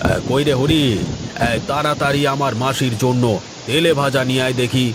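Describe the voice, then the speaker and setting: A man playing a pompous, self-important village gentleman with poetic airs in a cartoon, speaking theatrically. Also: medium pitch, steady tone, normal speed, background noise, natural voice. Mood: eager to please. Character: pompous village gentleman who fancies himself a poet. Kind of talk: Bengali cartoon voice acting.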